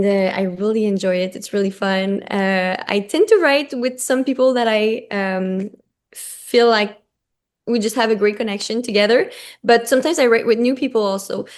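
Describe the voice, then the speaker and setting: A woman's voice: a little high, clean tone. A woman whose first language is French, a singer answering interview questions in a hesitant way, with many ums and restarts. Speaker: singer-songwriter guest.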